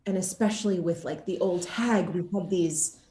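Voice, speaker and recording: Female interview guest, slightly low voice, casual and animated, echoey room. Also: normal speed. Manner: animated, acts out quoted reactions. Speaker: guest, actor interviewed about game casting and motion capture.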